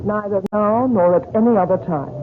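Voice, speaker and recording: A distraught young heiress, played by an actress in an old radio drama. She is imperious, sharp and demanding. Her voice comes through a narrow, tinny recording with clear noise. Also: mid-range voice, animated, brisk, natural voice. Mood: curt.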